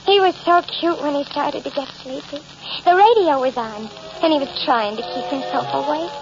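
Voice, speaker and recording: Chatty mock-innocent murderess, old radio drama, real high voice, muffled sound. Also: female voice, normal speed. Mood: confiding, smug. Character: cheerful, coy murderess narrating her crimes, primly self-justifying. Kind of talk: 1940s-50s radio drama acting. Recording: dull old radio broadcast, noisy.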